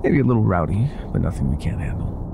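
A man playing an older ex-mafia boyfriend in an intimate ASMR roleplay, speaking softly and affectionately with some hesitation. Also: sits low, sing-song, fast talker, gravelly, noisy background, full character voice. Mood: teasing, warm. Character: older ex-mafia boyfriend, tender, teasing, vulnerable bar owner.

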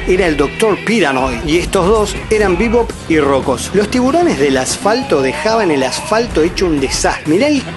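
A man's voice: sits high, sing-song, moderate pace, hardly any pauses. A man in his thirties with an Argentine accent, narrating a scripted countdown as video host. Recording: voice-over with music behind.

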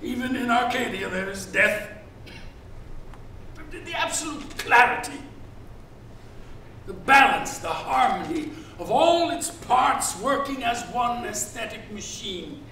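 Grand, high-voiced older gentleman, very echoey. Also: lively intonation, takes it slowly, creaky, full character voice. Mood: rapturous, grand. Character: grand, cultured mansion owner rapturous over art. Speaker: actor. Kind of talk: dramatic stage reading. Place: theatre stage. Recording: very echoey stage, distant, hissy ambience.

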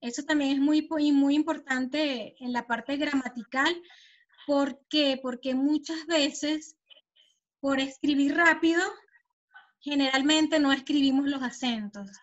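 High-voiced Venezuelan woman teaching Spanish. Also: fairly even intonation. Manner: patient, explains slowly, checks understanding with "¿sí?". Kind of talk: online language lesson. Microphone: computer mic on a video call. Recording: muffled video call audio.

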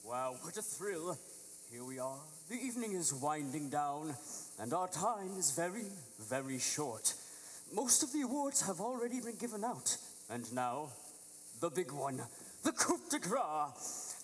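A teenage male actor playing a showy young celebrity presenting an award with dramatic pauses. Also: on the high side, big pitch swings, a little hiss or hum, low-quality recording. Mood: grand, thrilled. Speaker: actor in a high school play. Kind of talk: award presenter speech on stage. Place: theater stage at podium.

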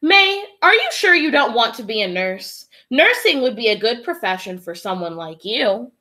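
A prim woman schoolteacher from a storybook, as a woman reader puts her on. She instructs with emphasis in a bright, slightly high voice with lively inflection. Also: moderate pace. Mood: doubtful. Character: prim female schoolteacher, dismissive of a child's dream.

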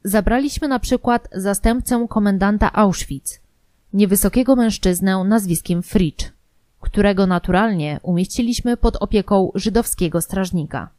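A woman reading a scripted documentary narration in a measured, formal manner. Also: mid-range voice, dark tone, no room sound.

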